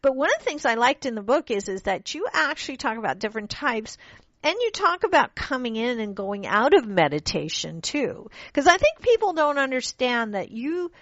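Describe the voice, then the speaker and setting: A woman's voice: a little high, sing-song, moderate pace, fairly bright tone, no room sound. Chatty woman host on lo-fi radio. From born in Pakistan, grew up in London. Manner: chatty, enthusiastic, restarts sentences often. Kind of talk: casual interview chat. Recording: dull lo-fi radio audio.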